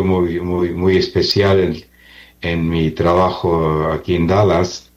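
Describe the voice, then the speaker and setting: A man speaking as a priest and interview guest, giving earnest, deliberate testimony in a conversational way over a dull, band-limited recording. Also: low voice, darkish tone.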